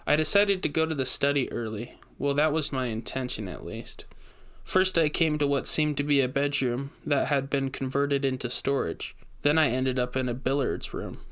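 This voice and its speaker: A man narrating a scripted first-person gothic mystery story in a measured, brooding manner. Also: mid-range voice, a bit bright, clear, resonant tone.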